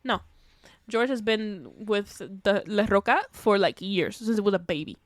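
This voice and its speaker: A woman co-hosting a podcast in casual chat, telling stories in an animated, slangy, sweary way. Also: medium pitch, normal speed, dry recording. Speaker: podcast co-host. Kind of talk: casual chat retelling a movie.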